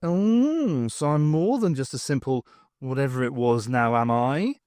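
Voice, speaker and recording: A man's voice: mid-range voice, animated, measured pace, a little mellow, no room sound, studio quality, lightly acted. A man voicing a self-pitying magician vizier who is really a computer program, whiny and sardonic.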